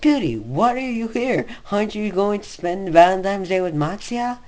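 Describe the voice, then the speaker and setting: A man's voice: sits high, lively intonation, moderate pace, a little mellow, pitched above the performer's own voice. A man voicing an astonished young man who questions his friend earnestly, in a fan fiction reading with background noise. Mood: excited surprise. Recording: noisy home recording.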